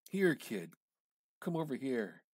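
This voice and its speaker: A man giving an amateur dramatic reading of literary fiction, theatrical and halting, with pauses and emphatic repetition. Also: medium pitch, very animated, slightly rough, no echo, clean studio sound. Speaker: amateur reader.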